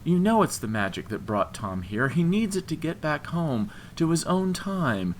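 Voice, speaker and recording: A man voicing an earnest young woman in an audiobook, compassionate but firm, pleading haltingly. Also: on the low side, lively intonation, fairly bright tone, slight background noise, voice pushed up, full character voice. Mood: sad, firm. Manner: halting, imploring. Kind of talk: audiobook character dialogue.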